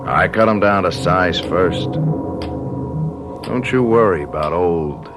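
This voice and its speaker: Slow, low-voiced spiteful young punk. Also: male voice, animated, over loud music, full character voice. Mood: bitter, boastful. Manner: boastful, sneering. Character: cocky, spiteful, paranoid young male punk under hypnosis. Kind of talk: radio drama acting.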